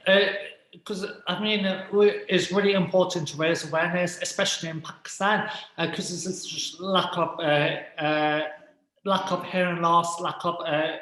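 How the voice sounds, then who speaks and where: Man, interview guest, halting speech, frequent restarts, fairly high pitch, echoey recording. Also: fairly even intonation, fairly bright tone. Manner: halting, many restarts and fillers. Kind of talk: casual interview talk.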